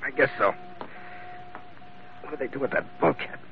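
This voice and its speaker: An actor playing a tough, wisecracking boat skipper with an American accent and a sardonic, slangy manner in old-time radio drama. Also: male voice, mid-range voice, expressive, moderate pace, close to an everyday voice. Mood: grim. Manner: hard-boiled, sardonic, slangy. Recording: muffled, noisy old radio recording.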